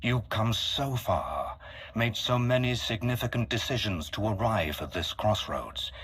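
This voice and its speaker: A man voicing a solemn, calculating mastermind in a video game, speaking formally and deliberately with a husky voice. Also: on the low side, expressive, fairly bright tone, heavily acted. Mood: persuasive, intense. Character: solemn, calculating male mastermind with grand galactic ambitions. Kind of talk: dramatic video game acting.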